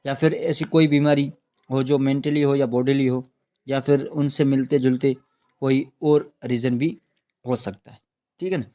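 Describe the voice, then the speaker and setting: A man's voice: mid-range voice, steady tone, a little slow, dark tone. A man with a Pakistani accent lecturing on law for students, repeating and rephrasing points, asking questions and answering them himself, with frequent check-in tags. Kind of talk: explanatory exam-prep lecture in Urdu mixed with English legal terms.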